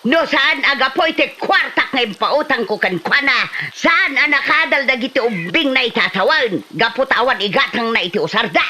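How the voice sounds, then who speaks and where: Picture an actress as an older Filipino woman lending money in a comedy drama. Her voice is high and sharp-edged. She complains and scolds, pushing hard for what she is owed. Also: animated, moderate pace, hardly any pauses, exaggerated voice. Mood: indignant. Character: irate older woman, a pushy neighborhood moneylender demanding repayment. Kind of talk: Ilocano comedy drama acting.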